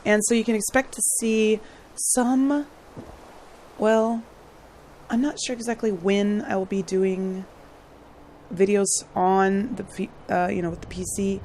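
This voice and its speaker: Woman, hoarse voice, casual gaming chatter, rambling, clears throat often, mid-pitched, faint background hiss. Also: fairly even intonation, a little slow, pauses often, a little mellow, low-quality recording. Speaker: gaming channel host. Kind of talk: casual gameplay commentary.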